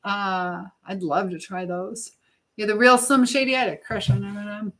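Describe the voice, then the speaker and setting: A woman hosting a casual live chat, reading comments and answering them in a rambling, halting way as she thinks aloud. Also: medium pitch, fairly even intonation, a bit bright.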